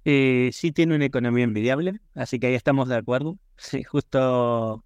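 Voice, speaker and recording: A man with an Argentine Spanish accent answers as a podcast guest in a conversational, measured, explanatory way. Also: mid-range voice, darkish tone, clear, resonant tone, no room sound, lo-fi sound. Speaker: podcast guest, e-commerce professional.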